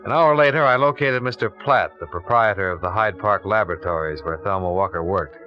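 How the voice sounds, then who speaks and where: An actor playing a cool, methodical insurance investigator in a 1950s radio drama, clipped and matter-of-fact. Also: male voice, sits low, fairly quick, lightly acted. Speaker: lead actor and narrator. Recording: dull vintage radio recording, music.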